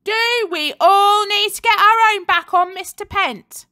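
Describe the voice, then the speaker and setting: A woman's voice: extremely high pitch, unhurried, sharp tone, pitched above the performer's own voice, exaggerated voice. A woman voicing a rebellious schoolgirl who rallies her classmates with urgent energy. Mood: rallying. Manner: urgent, rallying cries. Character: rebellious schoolgirl, bold rabble-rouser.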